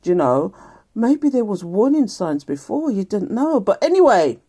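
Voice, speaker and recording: A middle-aged woman a croaky voice giving a casual daily tarot reading, chatty and laughing often. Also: medium pitch, very animated, measured pace, dark tone, a little hiss or hum. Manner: chatty, laughs often, reads aloud from a card booklet. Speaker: tarot reader.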